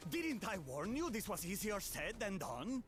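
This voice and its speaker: A man's voice: sits high, sing-song, moderate pace, faint music behind. An actor voicing a cautious male adventuring companion in a video game, speaking in a warning, lecturing tone. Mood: chiding.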